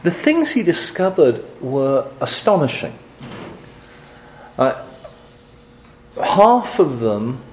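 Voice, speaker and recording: A man from England in middle age speaks to a live audience, his pitch rising and falling with lively movement. He keeps a dry, wry humor and often pauses with ums and restarts. A faint room ambience sits behind him. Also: slight background noise. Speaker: guest speaker on advocacy.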